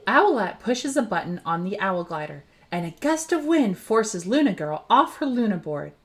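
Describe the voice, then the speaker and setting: Woman, children's book narrator, lively swooping pitch, expressive read-aloud delivery. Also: moderate pace. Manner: animated storytelling delivery.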